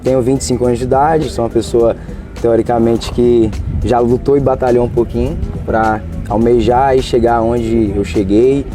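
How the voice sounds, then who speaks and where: A man's voice: medium pitch, fairly even intonation, normal speed, music in the background. A man, answering interview questions earnestly and hesitantly. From Tocantins, Brazil. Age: 20s. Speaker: interviewee, law graduate.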